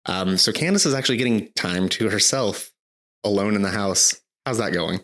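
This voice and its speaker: A man in his thirties co-hosting a casual podcast, talking in a rambling way with lots of fillers and a slightly hoarse throat. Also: lowish voice, moderate pace, a bit bright. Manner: rambling, filler-heavy, restarts often. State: slightly hoarse, recovering from illness. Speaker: podcast co-host. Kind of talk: casual chat.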